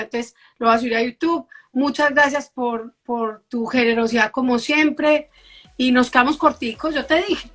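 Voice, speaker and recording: A woman's voice: sits high, a bit bright. A woman hosting a casual live-stream chat, earnestly encouraging her viewers. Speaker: host.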